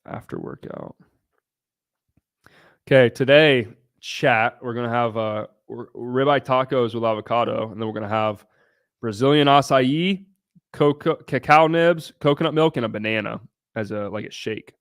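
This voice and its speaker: A man's voice: mid-range voice, normal speed, dry recording, studio quality. A young man from Southern Ohio hosting a casual livestream, answering viewers' questions in a brash, blunt way and swearing freely. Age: young adult. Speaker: livestream host.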